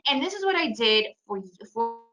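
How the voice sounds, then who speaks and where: Bright-voiced chatty woman presenter. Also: on the high side, animated, normal speed. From Ukraine, raised in the United States. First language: Russian. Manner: chatty, storytelling, addresses audience with "right?". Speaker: trading webinar presenter. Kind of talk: casual presentation.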